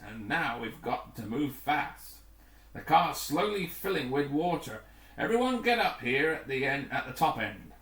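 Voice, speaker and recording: Man acting a brisk, animated rescue pilot, echoey room. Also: medium pitch, recorded from a distance, full character voice. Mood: urgent. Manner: urgent, clipped instructions. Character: brisk, decisive male rescue team pilot in action. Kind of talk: acted adventure story dialogue. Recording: echoey, roomy home recording.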